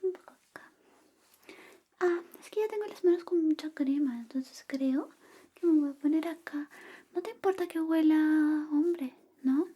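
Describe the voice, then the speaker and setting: Woman playing a whispering, slow, teasing best friend. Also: high-pitched. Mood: tender, playful. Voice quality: soft, breathy, mostly whispered. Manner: slow, coaxing, intimate, playfully teasing. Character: affectionate, teasing young female best friend secretly in love. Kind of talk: ASMR personal-attention roleplay.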